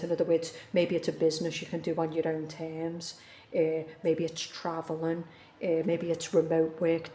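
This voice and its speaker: A woman reading tarot in a low, even, mellow voice that barely rises or falls. She is coming down with the flu and talks earnestly, circling back to repeat her points. The room sounds echoey. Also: a little hiss or hum. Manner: earnest, repeats herself for emphasis. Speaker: tarot reader. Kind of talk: casual tarot reading monologue.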